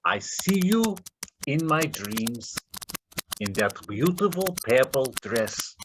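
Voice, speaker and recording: An actor playing a desperate Russian scammer in staged readers theater, speaking halting broken English with a Russian accent. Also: male voice, mid-range voice, sing-song, background noise. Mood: pleading, ingratiating. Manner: halting broken English, muttering asides to himself. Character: desperate Russian male scammer posing as Nigerian suitor.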